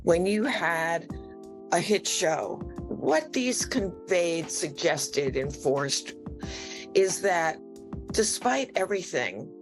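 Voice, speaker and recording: Woman, really low voice, talking like an expert in an interview, faint music under. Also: lively intonation, slow pace. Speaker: media historian interviewee.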